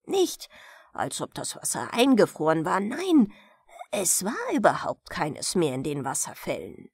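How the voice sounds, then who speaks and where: A woman reading a fairy tale as a bedtime audiobook, in an expressive, unhurried storytelling manner. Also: lowish voice, creaky, slightly rough, no echo, clean studio sound. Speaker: audiobook reader of fairy tales.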